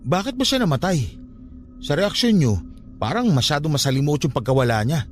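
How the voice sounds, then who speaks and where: Male actor for a mischievous young nephew: whiny protests, animated delivery, radio drama with faint music. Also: a little high, fairly quick, natural voice. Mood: curious disbelief. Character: defensive, curious young nephew caught in mischief.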